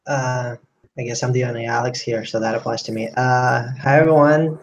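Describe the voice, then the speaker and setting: A man's voice: medium pitch, fairly even intonation, moderate pace, slight background noise. A man in his twenties from Russia, an entrant talking hesitantly on a video call. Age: 20s. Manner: hesitant, many fillers. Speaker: contest entrant. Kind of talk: casual video call chat. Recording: dull lo-fi call audio.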